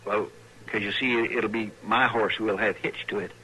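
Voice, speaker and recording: An American actor playing a loyal frontier deputy in an old-time radio western, with folksy rural phrasing and a hesitant, rambling manner. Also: male voice, fairly low pitch, fairly even intonation, moderate pace, sharp tone. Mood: hesitant, sheepish. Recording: noisy vintage radio recording.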